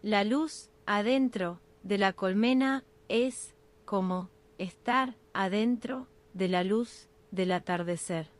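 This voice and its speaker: Woman doing asmr sleep meditation narration, really slow, short phrases w pauses, medium pitch.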